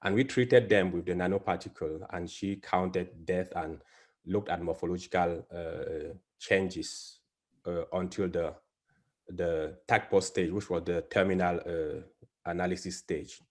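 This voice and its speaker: A man from Cameroon, a biology professor, giving an academic presentation over a video call, halting with many fillers and restarts. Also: sits low, steady tone, a little mellow.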